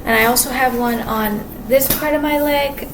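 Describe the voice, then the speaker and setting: Quiet-voiced woman rambling to camera. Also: a little high, fairly even intonation. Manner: rambling, halting, many restarts and fillers. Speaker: vlogger. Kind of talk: casual monologue to camera. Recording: clear background ambience noise.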